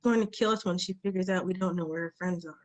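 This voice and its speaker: A woman's voice: on the low side, fairly even intonation, quick pace, close to an everyday voice. Woman playing a fretful, panicky orc sidekick. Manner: fretful, hesitant. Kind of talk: fantasy comedy table read.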